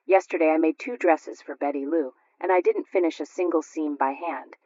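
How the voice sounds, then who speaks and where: A woman's voice: deep voice, normal speed, warm tone, no echo. A woman voicing a proud, practical housewife in a radio sketch, precise and instructive. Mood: modest, chatty. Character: proud, practical, painstaking housewife at sewing club. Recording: dull lo-fi radio recording.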